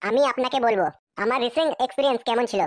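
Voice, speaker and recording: A woman's voice: a little high, very fast, dry recording. A woman giving a scripted promotional read as an advertiser.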